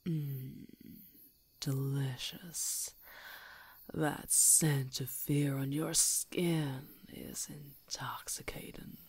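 A woman playing a menacing, playful werewolf hunter who gloats over prey in a breathy, husky ASMR whisper, with a slow teasing delivery. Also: very deep voice, fairly even intonation, a little mellow, exaggerated voice. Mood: predatory, savoring.

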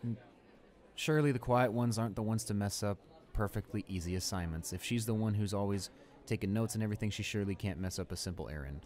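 Audio roleplay bully: male actor as a young male student, lowish voice, arrogant, irritable and dismissive. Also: fast talker, darkish tone, natural voice. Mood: conflicted. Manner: smug, dismissive, muttering to himself.

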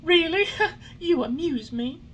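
A man voicing a cheeky, defiant wooden puppet boy in a squeaky falsetto for a storybook. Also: slow, fairly bright tone, slight background noise, exaggerated voice. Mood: mocking.

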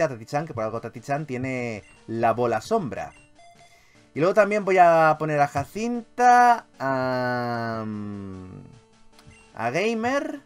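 A man with an accent from Spain giving casual, expressive gaming commentary in an informal, sweary way. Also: fairly high pitch, clear, resonant tone. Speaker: gaming YouTuber. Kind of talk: casual Let's Play commentary.

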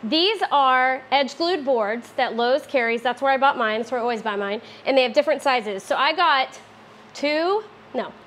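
A woman in her thirties hosting a casual woodworking tutorial in her home garage, chatty and quick to correct herself. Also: high-pitched, expressive, a bit bright. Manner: chatty, self-correcting, laughs often. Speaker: DIY woodworking host.

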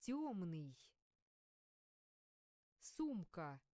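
A woman reading single vocabulary words and short phrases aloud for a language course, slowly and carefully enunciated. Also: lowish voice, very animated, warm tone, no room sound, clean studio sound. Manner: slow, careful word-by-word enunciation. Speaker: language course audio reader.

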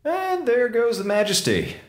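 Slangy, wisecracking space crewman, animated and theatrical, echoey sound. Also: very high voice, unhurried, heavily acted. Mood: flippant, casual. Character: wisecracking, theatrical male space station communications officer. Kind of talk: audio drama acting.

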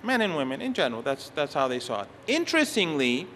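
A man whose first language is Persian speaks with a bright, forceful tone set a little higher than usual. He delivers a lecture at a conference, stopping often to restart phrases and leaning hard on key words. Faint noise from the hall sits behind him. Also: big pitch swings, fast. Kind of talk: academic conference talk.

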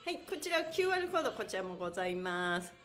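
A woman's voice: medium pitch, very animated, racing, fairly bright tone, reverberant, faint music behind. A middle-aged woman hosting a chatty live exercise class, bubbly and playful as she addresses her viewers. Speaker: pantomime teacher and livestream host. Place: indoor room with bare walls and exercise mat.

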